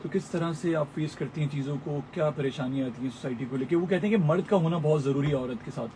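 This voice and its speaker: A man's voice: fairly high pitch, quick pace. A man interviewing a guest as a radio host, in a casual, conversational way. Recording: clear background noise and ambience.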